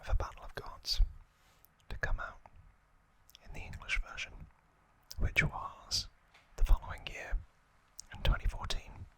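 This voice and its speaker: A young man whispers very slowly for ASMR, right up at the microphone. His hushed voice is crisp and bright, with each short phrase set carefully apart. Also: rough recording. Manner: hushed, slow, deliberate delivery in short separated phrases. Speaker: host of an ASMR review series. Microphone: close ASMR microphone.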